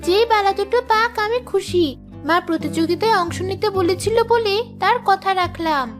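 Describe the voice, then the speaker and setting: A woman's voice: extremely high pitch, normal speed, faint music behind. A woman voicing a scheming young daughter-in-law in a cartoon fairy tale. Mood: eager, confident.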